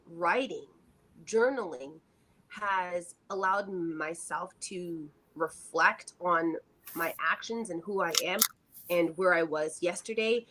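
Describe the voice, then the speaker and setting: A woman's voice: on the low side, sharp tone, low-quality recording. A middle-aged woman co-hosting a casual livestream chat, speaking in an earnest, reflective way. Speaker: co-host.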